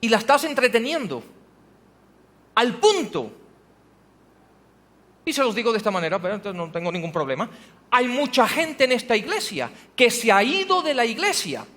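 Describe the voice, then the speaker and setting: A man's voice: very high-pitched, sing-song, moderate pace, long pauses, fairly bright tone. A male pastor preaching a sermon in a church, pressing the congregation with rhetorical questions and rising to emphatic passages. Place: church hall. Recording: long hall reverb.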